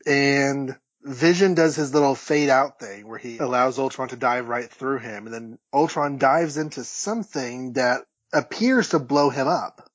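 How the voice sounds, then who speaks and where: A man's voice: mid-range voice, a little slow, few pauses, husky. A man co-hosting a podcast, casually recapping and discussing with enthusiastic, rambling asides. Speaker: podcast co-host. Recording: dull, lo-fi audio, slightly roomy.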